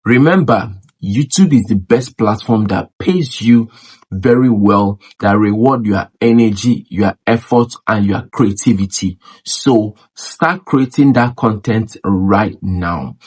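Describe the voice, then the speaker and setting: A man's voice: lowish voice, takes it slowly, darkish tone, breathy edge, poor audio. A man who makes videos, coaching viewers on growing a channel in an emphatic, repetitive way. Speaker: YouTube creator teaching monetization. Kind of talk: direct-to-camera advice talk.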